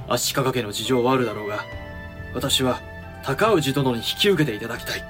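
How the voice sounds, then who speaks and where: A man acting a sober, authoritative samurai regent in a voice drama, formal, firm and measured. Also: medium pitch, over music, natural voice. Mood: grave. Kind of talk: voice drama acting in period Japanese.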